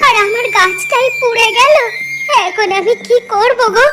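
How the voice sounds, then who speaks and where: A woman's voice: very high-pitched, a little fast. A woman voicing an earnest, selfless young village healer in a melodramatic cartoon folk tale, with a plaintive, emphatic delivery. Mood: wailing, distraught. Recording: voice over prominent background music.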